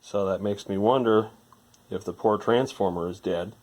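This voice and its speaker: A man with a very low voice narrates a hands-on electronics repair in an informal way. He thinks aloud as he works, wandering a little from point to point like a hobbyist explaining the job. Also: normal speed, a little mellow.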